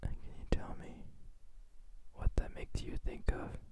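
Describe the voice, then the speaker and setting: A man playing a possessive, softly controlling doctor in an ASMR hypnosis roleplay, in a breathy whisper with a soothing, coaxing manner. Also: lightly acted. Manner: slow, soothing, coaxing, quietly dominant. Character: possessive yandere male doctor, gentle but controlling hypnotist.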